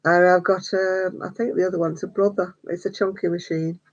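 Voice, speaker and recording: A woman's voice: on the low side, level tone, a little fast. Older woman chatting on a livestream. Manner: chatty, greets viewers by name, laughs often. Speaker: crochet vlogger. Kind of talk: casual live-stream chat. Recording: slightly dull home stream audio.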